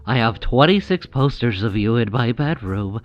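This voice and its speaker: A man's voice: medium pitch, lively intonation, moderate pace, dull audio, lightly acted. A man playing a nervous, adoring, creepy superfan with a hesitant, awkward, eager manner in a comedic audio drama. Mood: eager, infatuated.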